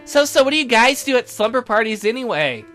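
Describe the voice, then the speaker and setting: A woman's voice: on the high side, very animated, a little fast, fairly bright tone, soft background music. A woman voicing an upbeat, teasing young female classmate in a visual novel game, breezy and casual. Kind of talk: visual novel character dialogue.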